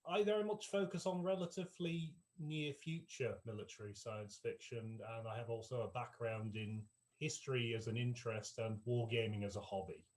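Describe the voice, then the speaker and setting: A man's voice: medium pitch, fairly even intonation, moderate pace, a little mellow. A man with a mid-range voice introduces himself on a convention panel. He is cheerful and self-deprecating. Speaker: panelist, author.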